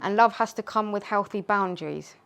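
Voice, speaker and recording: A woman's voice: mid-range voice, brisk, creaky, a bit bright, clear, resonant tone. A woman poet whose first language is Urdu, talking earnestly and reflectively in a group discussion. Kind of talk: casual discussion. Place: community space.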